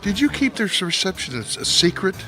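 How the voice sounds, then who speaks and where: An actor playing a smug, sneering gossip author in a radio mystery, sarcastic, theatrical and mocking. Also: male voice, sits high, very animated, fairly quick, bright, forceful tone, soft background music, heavily acted. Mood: peevish. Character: smug, sneering male gossip author taunting old acquaintances. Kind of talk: radio mystery drama acting.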